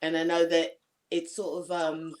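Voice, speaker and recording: A woman with a gruff, somewhat low voice chats casually with her family support team. She speaks frankly and often breaks off to restart her sentences. A faint noise sits under her voice. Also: flat delivery, measured pace.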